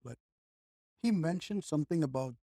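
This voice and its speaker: Man, podcast guest, mellow voice, slightly high, dry close sound, rambles and restarts. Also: lively intonation, normal speed, no echo. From India. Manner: rambling, with frequent restarts and "like", "right?". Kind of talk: reflective conversational chat.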